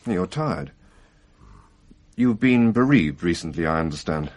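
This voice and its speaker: An actor playing a calm, matter-of-fact doctor who speaks in a measured, reassuring, slightly wry way in a radio drama. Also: male voice, low-pitched.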